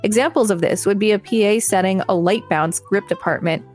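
A woman's voice: mid-range voice, fairly quick, darkish tone, faint music behind. A woman reading an encyclopedia article aloud as a podcast co-host. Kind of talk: read-aloud article narration.

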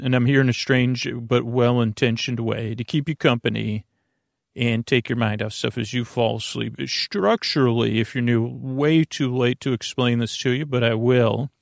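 A man hosting a sleep podcast with a halting, meandering, self-deprecating and reassuring improvised monologue. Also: mid-range voice, gravelly, dry recording, clean studio sound. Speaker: podcast host. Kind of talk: rambling improvised bedtime monologue.

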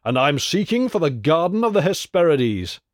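A man's voice: medium pitch, very animated, moderate pace, darkish tone. A man voicing a bold, fearless hero of myth in a storybook, with a confident, declamatory manner. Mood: proud.

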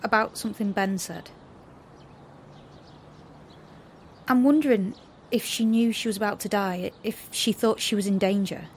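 An actress playing a sarcastic, anxious young rebel in a dystopian audio drama, expressive and easily exasperated. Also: female voice, mid-range voice, fairly quick, a little hiss or hum, close to an everyday voice. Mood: uneasy, probing. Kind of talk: audio drama acting with first-person narration.

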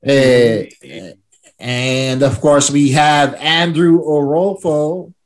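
A man's voice: a little high, extremely slow. A man from the Philippines hosting a podcast, chatty and self-mocking as he introduces his guests. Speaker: podcast host.

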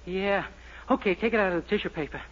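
A man's voice: high voice, fast, fairly bright tone, lo-fi sound. An actor playing a naive, bashful young man who gets flustered easily, in 1940s radio sitcom acting.

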